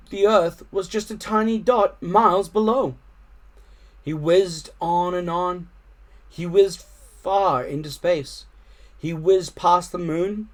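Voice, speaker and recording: A man reading a children's story aloud expressively, with animated, dramatic emphasis. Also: high-pitched. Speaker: storytime reader.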